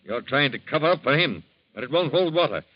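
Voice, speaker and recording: An actor playing a blunt, hard-nosed police captain who talks in curt commands in a 1940s radio drama. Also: male voice, a little high, lively intonation, fairly quick. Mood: stern. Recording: muffled old radio recording.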